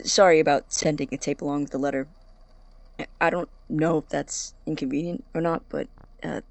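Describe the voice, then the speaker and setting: Nervous college kid, creaky voice, stumbles over words, rambles, super dry close sound, audio drama, guy acting. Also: medium pitch, no echo. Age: young adult. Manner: halting, stammering, rambling, nervous laughs. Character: Victor, awkward, anxious, self-deprecating young male college student.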